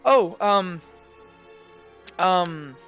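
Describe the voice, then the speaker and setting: A man's voice: high voice, lively intonation, very slow, a bit bright, clean tone, muffled recording, soft background music, pitched above the performer's own voice, heavily acted. A man voicing a questioning lady in a roleplay game, polite, probing and a little hesitant. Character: stern, questioning adult lady.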